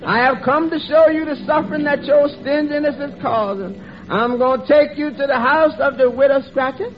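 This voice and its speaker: High, portentous Christmas spirit. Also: male voice, moderate pace. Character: solemn Christmas spirit, stern and accusing. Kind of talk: 1940s radio comedy acting. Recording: muffled old radio recording, loud music.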